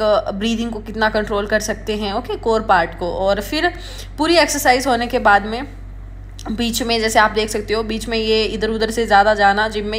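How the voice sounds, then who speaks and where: A young woman in her twenties narrating her daily study and gym routine in a relaxed, chatty vlog voice-over, slipping English words into her talk. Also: fairly high pitch. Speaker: vlogger preparing for government exams. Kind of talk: day-in-the-life vlog voice-over. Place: recorded at home after the day.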